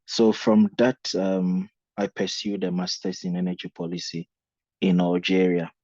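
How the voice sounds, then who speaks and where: Young man, from Ghana, guest speaker, very deep, monotone, dry dull recording. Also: normal speed, dry recording. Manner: earnest, humble, with many fillers and restarts. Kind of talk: conference presentation.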